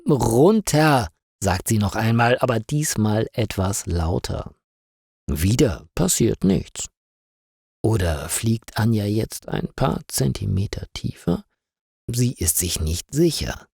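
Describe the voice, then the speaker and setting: A man's voice: on the low side, sing-song, slow, gravelly, a little mellow, husky, no room sound, clean studio sound. A man narrating a scripted story for learners, in simple, carefully articulated sentences.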